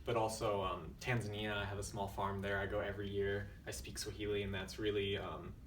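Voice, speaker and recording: A man in his twenties from the United States, talking as an interview guest in a thoughtful, measured way with frequent fillers. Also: on the low side, little pitch movement, reverberant, far from the mic, slight background noise. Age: 20s. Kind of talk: casual interview.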